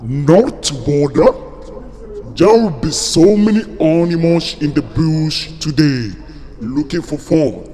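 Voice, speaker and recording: A man acts out a big storybook lion, hungry, sly and bossy. He speaks extremely slowly and a little high, with a menacing growl, in a long hall-like reverb. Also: expressive, warm tone. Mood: hungry, scheming. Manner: slow, deliberate, growling. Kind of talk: storybook character acting.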